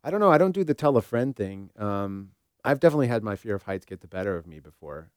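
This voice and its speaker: Low-pitched man thinking aloud. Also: big pitch swings, fast talker, clean tone, no echo, clean studio sound. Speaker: therapist co-hosting a talk show. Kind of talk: casual reflective discussion.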